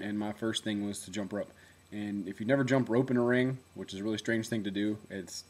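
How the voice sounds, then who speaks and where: A young man speaks into his camera in a relaxed video diary, his voice low and deep. He sometimes swallows his words and talks in a chatty, rambling way, full of fillers and quick self-corrections. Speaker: former reality show contestant.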